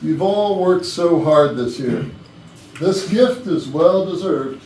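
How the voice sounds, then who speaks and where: An actor playing a self-important, demanding company boss in a comedy play reading, with an authoritative speechifying manner. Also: male voice, fairly high pitch, lively intonation, slow, a little mellow. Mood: jovial, official. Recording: distant, echoey room with faint noise.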